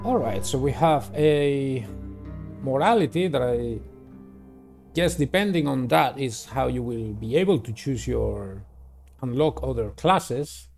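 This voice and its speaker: Man, mid-pitched voice, gaming host, playthrough commentary, reading aloud, music clearly behind. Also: animated, measured pace, a little mellow.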